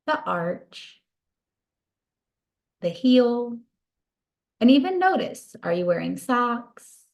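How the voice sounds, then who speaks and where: A woman leading a guided body scan meditation in a soothing, gentle, unhurried manner. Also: mid-range voice.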